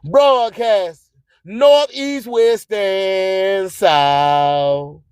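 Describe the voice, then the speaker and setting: Man, Southern US accent, very high pitch, slow measured pace, dry close sound, boastful radio host, rhythmic preacher-like proclaiming. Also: a little mellow, clear, resonant tone, dry recording. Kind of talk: hype radio monologue with rhythmic, rhyming spoken-word delivery.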